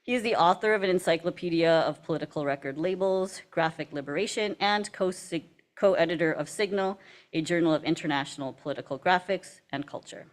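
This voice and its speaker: A woman moderating a university panel, reading speaker introductions in a measured, formal way. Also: fairly low pitch. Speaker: event moderator. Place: university event hall.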